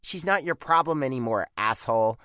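Woman acting a highly expressive drama character. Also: brisk, dry recording, clean studio sound. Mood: scornful. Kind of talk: audiobook drama dialogue.

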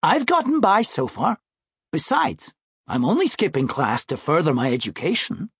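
A man's voice: on the high side, big pitch swings, moderate pace, fairly bright tone, breathy edge, dry recording, studio quality, exaggerated voice. A man voicing a confident, pompous young schoolboy in an audiobook, breezy, smug and wordy. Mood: breezy, self-assured. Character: confident, pompous, precocious seventh-grade boy. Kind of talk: audiobook character voice.

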